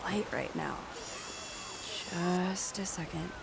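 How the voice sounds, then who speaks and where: A woman's voice: lowish voice, takes it slowly, gravelly, noisy background, full character voice. A woman acting an inquisitive, impatient young daughter in a stage play, blunt and full of questions. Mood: eager, bossy.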